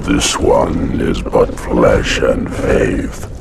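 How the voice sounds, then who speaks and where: An actor voicing a vast, ancient alien hive-mind monster, solemn and menacing, speaking in slow oratory in a game cutscene. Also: male voice, heavy background noise. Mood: solemn menace. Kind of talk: video game cutscene acting.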